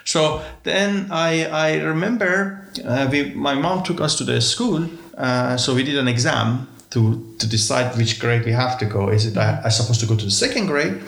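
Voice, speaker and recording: A man's voice: mid-range voice, animated, moderate pace, creaky, few pauses, a bit bright. A man from Iran, a guest telling his life story in a rambling, wry way. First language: Persian. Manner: rambling, wry, jokes about his past. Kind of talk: storytelling in conversation. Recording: echoey room.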